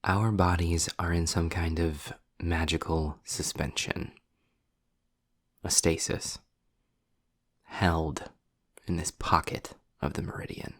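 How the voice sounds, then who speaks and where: A sardonic, weary demon, voiced by a man, speaks in a low register. The delivery is slow and deliberate, with breathy drops toward a whisper. It has the close, dry-witted feel of an intimate roleplay audio drama. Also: little pitch movement, full character voice. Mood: grim, explaining. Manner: sarcastic, deliberate, sighing. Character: sardonic, world-weary male demon trapped in hell.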